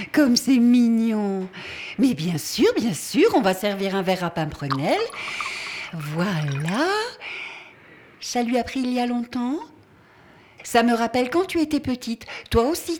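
Woman playing a high-voiced, gushing grandmother. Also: lively intonation, unhurried, long pauses, a little mellow. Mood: chatty, patronising. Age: older. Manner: cooing, effusive, chatty. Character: gushing, fussy grandmother welcoming her family. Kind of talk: audio drama acting.

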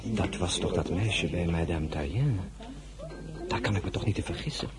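An actor playing a gallant, blunt French general in a Dutch period radio drama. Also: male voice, sits low, moderate pace, a loud crowd, lightly acted. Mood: smitten, musing.

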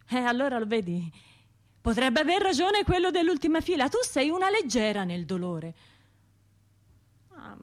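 An actress playing an anguished, guilt-ridden Tuscan woman survivor in a radio drama monologue, halting and arguing with herself. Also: fairly high pitch. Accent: Tuscan Italian. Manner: halting, self-questioning, argues with herself.

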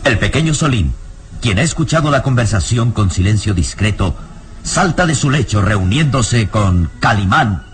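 A man's voice: lowish voice, expressive, normal speed. A man narrating an old radio serial in a solemn, literary, dramatic scripted style. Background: prominent music.

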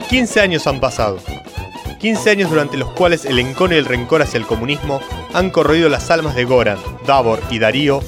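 A man's voice: medium pitch, lively intonation, fairly quick, loud music behind the voice. A man with an Argentine accent giving solemn, mock-epic scripted narration for a radio comedy.

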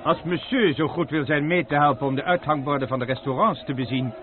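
An actor playing a polite, formal servant who gently steers his master where he wants him to go. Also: fairly high pitch, brisk, a little hiss or hum, lightly acted. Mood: deferential. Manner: deferential, formal, gently persuasive. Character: polite male servant with a love of cooking. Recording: tinny old radio recording, busy crowd.

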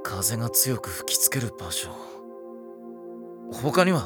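Wry, expressive teenage anime boy. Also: medium pitch, takes it slowly, soft background music. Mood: wry, resigned. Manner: casual, exasperated straight man. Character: caring, wry teenage schoolboy, big-brother type. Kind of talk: Japanese anime audio drama acting.

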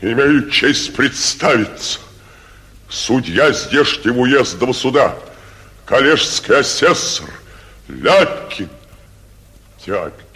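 A man playing a timid, obsequious provincial judge in a classic Russian stage comedy for radio, halting and servile, muttering anxious asides. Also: medium pitch, lively intonation, pushed and bright, slightly rough, heavily acted. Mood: servile, nervous. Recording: muffled 1949 radio theatre recording.

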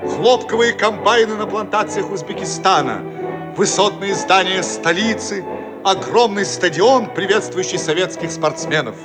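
A man narrating a radio play in a declamatory, solemn announcer manner. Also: sits high. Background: loud music.

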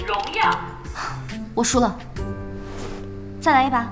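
Forthright young woman, animated delivery, insistent, radio drama, faint music behind. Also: fairly high pitch, unhurried. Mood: determined. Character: spirited, forthright young woman at a gaming hangout. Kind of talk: Chinese radio drama acting.

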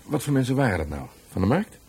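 An actor playing an easygoing, patient police sergeant in a radio drama, putting questions in an informal way. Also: male voice, medium pitch, big pitch swings, fast, vocal fry, dark tone, husky, exaggerated voice. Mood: probing, calm. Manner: informal, probing.

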